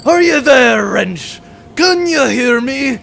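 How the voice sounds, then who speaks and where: An actor playing a vain, blustering pirate villain in a comic sci-fi audio drama, full of florid oaths and theatrical threats. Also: male voice, very high-pitched, expressive, takes it slowly, vocal fry, fairly bright tone, slight background noise, heavily acted. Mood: furious.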